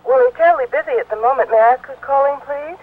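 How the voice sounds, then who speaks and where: An actress playing a polite, flustered wife, fluttery, heard through a telephone in a 1950s radio drama. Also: female voice, sits high, fast talker. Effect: telephone filter. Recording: tinny phone line, old radio recording.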